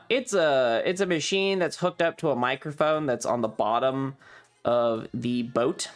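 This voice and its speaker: A man hosts a casual livestream over background music. He speaks at an average pitch in an informal, rambling way, explaining things offhandedly and swearing now and then. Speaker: streamer and host. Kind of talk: casual livestream chat, describing travel photos.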